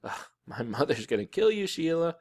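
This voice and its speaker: A man voices a grade-school boy in a children's story. He sounds like a put-upon older brother, indignant and plaintive, with a raised, animated voice. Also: fairly high pitch, normal speed, voice pushed up. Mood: exasperated. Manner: exasperated, plaintive. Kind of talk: audiobook character voice.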